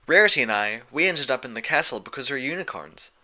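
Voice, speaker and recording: A man's voice: mid-range voice, animated, fast talker, pushed and bright. A man voicing an earnest, bookish young female unicorn pony, questioning and precise, in a story reading. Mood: puzzled.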